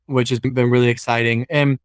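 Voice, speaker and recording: A man's voice: mid-range voice, little pitch movement, fairly quick, clear, resonant tone, no echo, studio quality. A man hosting a virtual interview, friendly and fluent, with occasional hesitant restarts. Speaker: interview host.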